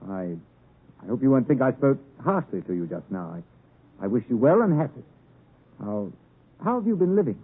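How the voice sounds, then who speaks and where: An actor playing an earnest, proper young gentleman in a 1950s radio drama, speaking formally and dramatically. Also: medium pitch, big pitch swings, normal speed, long pauses, breathy edge. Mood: awkward, polite. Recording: muffled narrowband 1950s radio broadcast recording.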